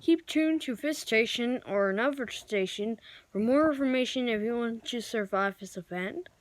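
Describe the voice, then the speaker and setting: A woman improvising mock emergency news and weather bulletins in a halting, stumbling amateur announcer delivery. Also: fairly high pitch, no room sound. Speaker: amateur video creator playing broadcast announcer.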